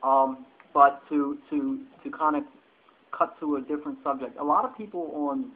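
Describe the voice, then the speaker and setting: A man's voice: on the high side, fairly even intonation, moderate pace. Hesitant male interviewer, phone-quality sound. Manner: hesitant, rephrasing questions, agreeable. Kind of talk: casual unscripted interview. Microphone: telephone. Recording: tinny, band-limited phone line.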